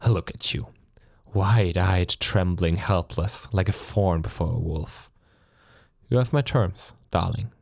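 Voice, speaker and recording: An ancient vampire lord, acted by a man, speaks very low and close in a dry, intimate space. He is sadistic and possessive, lacing his commands with courtly endearments. The delivery is theatrical ASMR roleplay, taunting and silkily menacing, with a creaky edge. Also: slow pace, a little mellow, no room sound, exaggerated voice. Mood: silkily persuasive. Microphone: close studio mic, dry.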